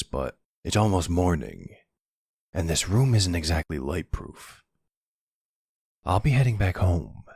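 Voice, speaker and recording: Man playing a deep, gravelly flirty vampire. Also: a little mellow, no echo, full character voice. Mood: flirty, teasing.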